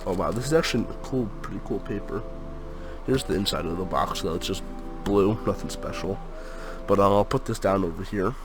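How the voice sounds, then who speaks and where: A man's voice: sits low, fairly quick, over music. Congested young man rambling about sneakers. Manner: rambling, lots of fillers like um and like. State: congested from a cold. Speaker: sneaker unboxing vlogger. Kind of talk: casual unboxing chat.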